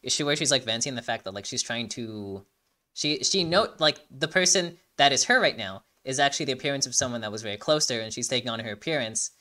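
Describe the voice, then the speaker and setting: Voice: medium pitch, expressive, fast, sharp tone. An enthusiastic host giving casual reaction commentary, rambling and often repeating phrases while searching for words. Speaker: video host.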